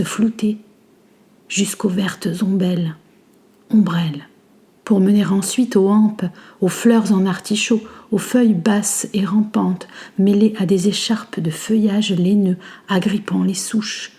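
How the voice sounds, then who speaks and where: A woman reciting poetry in a hushed, breathy manner that often slips toward a whisper, with deliberate, measured phrasing and pauses. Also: mid-range voice. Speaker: poet reciting an ecological poem. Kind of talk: slow poetry recitation in literary French.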